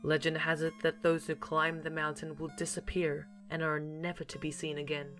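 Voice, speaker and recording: A woman's voice: sits low, little pitch movement, moderate pace, fairly bright tone, faint music behind. A woman delivering scripted narration as the narrator of an audio series, in a formal storytelling manner.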